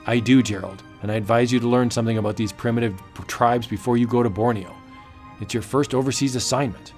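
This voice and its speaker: A man's voice: mid-range voice, steady tone, fast talker, darkish tone, faint music behind, close to an everyday voice. Guy doing an intense anthropologist who believes in jungle magic, solemn, earnest, vintage horror comic drama. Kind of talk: comic book audio drama acting.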